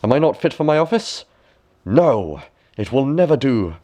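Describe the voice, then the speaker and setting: A man's voice: mid-range voice, very animated, moderate pace, mellow, pitched above the performer's own voice. An honest old minister from a storybook, acted out by a male reader. The voice jumps far up from the reader's own and swings widely. He is flustered and anxious to please, drawing out his exclamations. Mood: anxious, dismayed.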